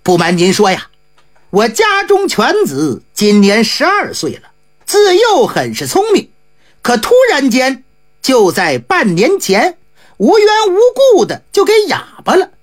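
A woman's voice: a little high, huge pitch swings, measured pace, full character voice. A woman voicing a polite, anxious wealthy old man in his fifties in a storytelling performance, speaking humbly and courteously. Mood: humble pleading. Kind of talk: traditional storytelling.

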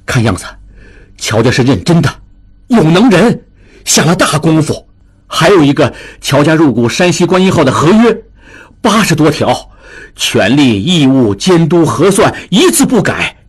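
Guy playing worried worked-up little brother in an audiobook, exasperated, husky voice, pitch all over. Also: sing-song, moderate pace. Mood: agitated, exasperated. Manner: exclamatory, exasperated. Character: agitated, anxious younger brother reporting bad news. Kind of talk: dramatized audiobook character acting.

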